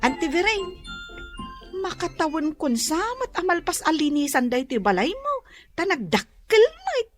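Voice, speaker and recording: A woman's voice: extremely high pitch, big pitch swings, moderate pace, over music. A woman with an Ilocano accent playing a polite young nursing student niece who cleans for work, in a radio drama. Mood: polite, pleading.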